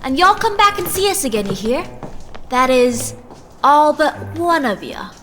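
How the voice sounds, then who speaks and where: A woman's voice: high-pitched, big pitch swings, measured pace, sharp tone, full character voice. A young woman playing a folksy diner counter worker in a school radio play. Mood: chatty. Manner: chatty, folksy.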